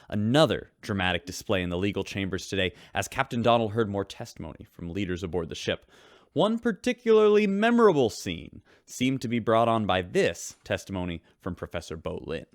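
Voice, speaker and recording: A breezy ship radio host. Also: male voice, fairly low pitch, expressive, brisk, no echo, studio quality. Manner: breezy, conversational. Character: male ship radio host, chatty and upbeat. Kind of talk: broadcast news presenting.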